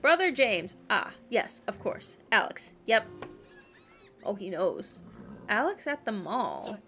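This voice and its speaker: A woman's voice: medium pitch, huge pitch swings, slow, pushed and bright, soft background music. A woman livestreaming a game, chatting and rambling casually and reading things aloud as she plays. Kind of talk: casual stream chat and game commentary.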